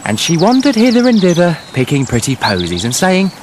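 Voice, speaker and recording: A man's voice: a little high, huge pitch swings, a little fast, background noise. An actor playing a fussy, self-important writer narrating his fairy tale in a comic radio play, florid and testy. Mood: florid, dramatic.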